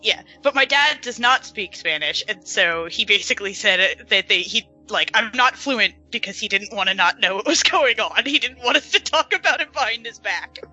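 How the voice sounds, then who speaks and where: A woman's voice: fairly high pitch, animated, moderate pace, pushed and bright, slightly rough, faint music behind, poor audio. A woman from the US chatting casually as a podcast guest, giggly, with lots of fillers. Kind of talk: casual chat.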